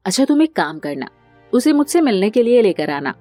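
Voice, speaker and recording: A woman's voice: medium pitch, sing-song, brisk, mellow, faint music behind, natural voice. Woman playing sensible, reassuring elder sister-in-law. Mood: supportive. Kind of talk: cartoon family drama storytelling.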